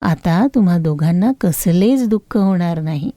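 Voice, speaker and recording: A woman voicing a kindly goddess disguised as a young bangle seller, reassuring and firm when warning, in an animated moral story. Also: fairly low pitch, unhurried, no room sound, studio quality, voice pushed down. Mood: benevolent blessing.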